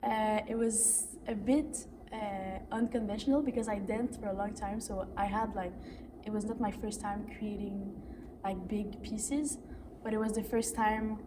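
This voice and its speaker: An eighteen-year-old woman from Canada reflects on her answers, leaning on "like" as she thinks. Her voice is mid-pitched. She sits away from the mic, with noise behind her. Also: fairly even intonation, unhurried. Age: teens. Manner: reflective, frequent "like". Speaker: young actor interviewed. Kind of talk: casual interview answers. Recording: distant mic with background noise.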